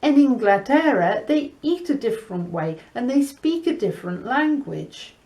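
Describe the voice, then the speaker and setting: A woman reading to children and teaching in a deliberate, teacherly way, mixing Spanish words into English. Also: a little high, very animated, mellow. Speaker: storyteller and language teacher host. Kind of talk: children's story reading and lesson chat.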